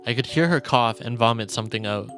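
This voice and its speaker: A male streamer reading visual novel narration aloud in a solemn, deliberate storytelling manner. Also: mid-range voice, a bit bright. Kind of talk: dramatic reading of game narration. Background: game music.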